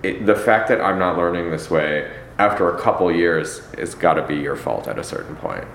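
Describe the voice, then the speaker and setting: A man's voice: sits low, moderate pace, fairly bright tone, slight background noise. A young man rambling wryly in a casual chat, often restarting his sentences. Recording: roomy with faint ambience.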